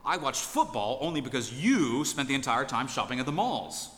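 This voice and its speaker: A man's voice: medium pitch, big pitch swings, normal speed, a bit bright, long reverb tail. A man voicing a defensive young newlywed husband who stammers flustered excuses.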